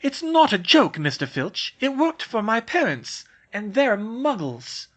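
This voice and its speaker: A bright, earnest schoolgirl, as a man puts her on in a raised voice. She talks briskly and politely, pressing her case. Her pitch moves around a lot. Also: sing-song. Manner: brisk, persuasive.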